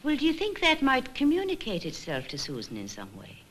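An actress playing a kindly middle-aged ward sister in a 1950s instructional film, measured and gently questioning. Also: female voice, sits high, huge pitch swings, slight background noise. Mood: patient, reassuring. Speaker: senior nurse leading a staff discussion.

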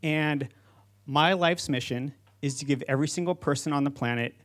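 A man's voice: on the high side, normal speed, fairly bright tone. A man in his forties giving a prepared conference speech as a company founder, speaking into a handheld microphone on stage. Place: stage in an auditorium with seated audience.

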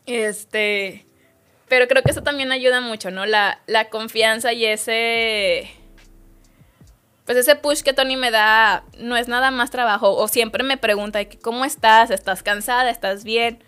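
A woman, a guest on an interview podcast, telling work stories in a lively, chatty way. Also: fairly high pitch, unhurried, clean tone, soft background music. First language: Spanish. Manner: lively storytelling, quotes others' words. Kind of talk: casual interview chat.

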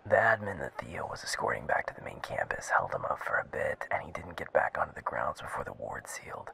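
A man's voice: rumbling low, big pitch swings, brisk, vocal fry, bright, forceful tone, in a whisper, heavily acted. A man playing a businesslike security subordinate reporting to his boss in an audio drama, matter-of-fact.

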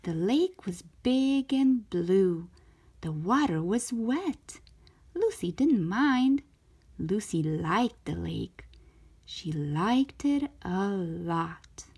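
A woman narrating a children's story aloud in an expressive, careful storytelling manner, as a storytime host. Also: mid-range voice, extremely slow, slightly rough. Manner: animated, careful storytelling delivery.

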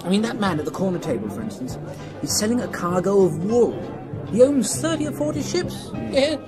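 A genial Belgian businessman for radio drama. He is ingratiating and hesitant, with a fairly high voice that swings up and down in lively fashion. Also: brisk, over music, crowd noise. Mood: affable, chatty. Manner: hesitant, ingratiating, chuckling, stammering. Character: genial, nervously eager Belgian businessman living in Germany.

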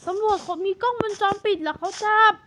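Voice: very high-pitched, fast. A gamer chatting casually through game role-play, talking like a bossy older sibling to a small child. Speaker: gaming video host. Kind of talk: casual Minecraft gameplay chat with role-play. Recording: clearly noisy home recording with clicks.

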